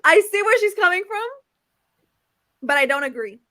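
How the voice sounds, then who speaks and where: High, bright, animated woman chatting. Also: normal speed, no room sound. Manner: animated, repeats phrases for emphasis; addresses viewers as "y'all". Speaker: book discussion co-host. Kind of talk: casual chat. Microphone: livestream video call.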